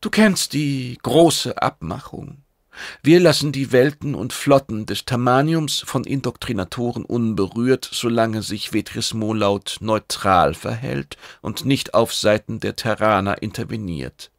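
A man reads as an impatient alien commander from a science fiction story. His delivery is formal and emphatic, pitched up and creaky, in a close, dry recording. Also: unhurried, no echo. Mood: formal, insistent. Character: Karadok, stern impatient male alien commander.

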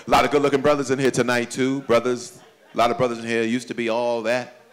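A man in his forties from doing stand-up comedy at a church celebration, swinging between loud high exclamations and low confiding asides. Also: level tone, fast. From Chicago, USA. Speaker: comedian pastor. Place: banquet hall at a church event. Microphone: handheld microphone. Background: audience laughter.

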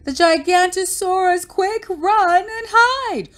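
A woman's voice: on the high side, big pitch swings, measured pace, a bit bright, voice pushed up. A woman voicing a boastful young boy dinosaur trickster in a storybook, with theatrical, exclaiming delivery. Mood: shouted alarm.

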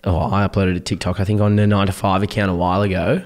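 A young Australian man with a hoarse, worn-out voice that sits on the lower side. He chats in a laid-back, blokey way, joking at his own expense as a podcast co-host. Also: little pitch movement, fast. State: hoarse, lost voice. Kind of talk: casual chat.

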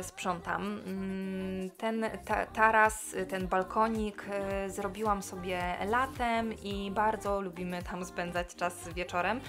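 Brief: relaxed woman in her 30s, chatty cleaning voice-over, soft music underneath. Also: mid-range voice. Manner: chatty, relaxed, many fillers. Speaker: cleaning vlogger. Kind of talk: casual voice-over chat.

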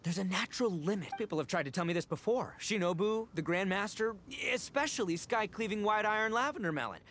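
Brash, theatrical oni gang boss. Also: male voice, high-pitched, animated, quick pace, a bit bright, slight background noise. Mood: determined. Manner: bombastic, theatrical, slangy. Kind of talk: video game voice acting.

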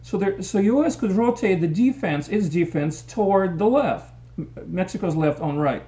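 Middle-aged guy ranting about soccer tactics into his webcam, kinda mellow tone. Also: sits high, lively intonation, moderate pace. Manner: opinionated, gestures while explaining tactics. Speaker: soccer commentator. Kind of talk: post-match analysis monologue. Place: small room indoors. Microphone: webcam at desk.

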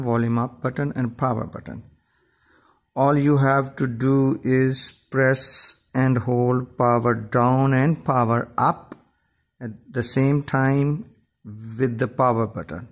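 A man giving an informal step-by-step tech tutorial, explaining in a halting, repetitive way. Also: mid-range voice, fairly even intonation. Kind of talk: informal step-by-step how-to narration.

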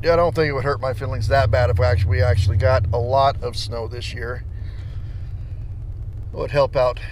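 A man's voice: mid-range voice, fairly even intonation, fairly quick, darkish tone. An American man in his thirties chatting casually and folksily to the camera as he drives his truck. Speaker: vlogger. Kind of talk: casual chat to camera while driving. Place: inside a pickup truck cab while driving. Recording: noisy car interior, engine and road noise.

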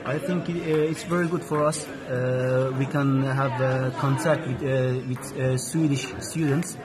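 Young male non-native speaker from Afghanistan, slow, searching interview answers, medium pitch, busy cafeteria. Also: fairly even intonation. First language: not English. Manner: halting, searching for words. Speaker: student interviewee. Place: indoor cafeteria. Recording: busy chatter in the room.

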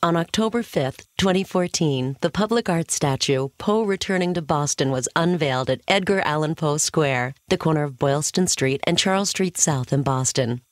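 A woman's voice: low-pitched, normal speed, few pauses, dry recording, studio quality. A woman narrator reading a scripted factual piece.

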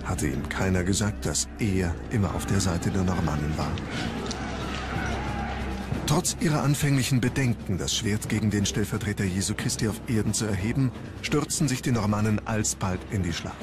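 A man with a fairly low voice narrates a history documentary. He reads a scripted story as a narrator would. Music plays clearly behind his voice throughout. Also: expressive, normal speed. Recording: music bed under the voice. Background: background music.

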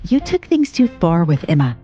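Actress as shrewd big-sister strategist: mellow voice, animated pitch, assertive, drama medium. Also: female voice, moderate pace, muffled recording, soft background music. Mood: calculating. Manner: assertive, advising. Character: shrewd, commanding older sister scheming for the company.

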